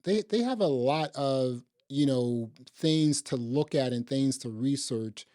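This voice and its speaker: A man's voice: medium pitch, expressive, slow pace, dark tone, dry recording, clean studio sound. A man co-hosting a podcast, reviewing films in casual, slangy talk and often checking for agreement. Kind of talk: casual film review chat.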